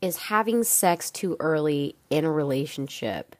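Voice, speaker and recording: A woman's voice: deep voice, animated, unhurried, darkish tone, dry recording. Congested young woman hosting a chatty podcast. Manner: frank and chatty, with casual swearing. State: congested from a cold. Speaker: podcast host. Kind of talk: casual solo podcast talk.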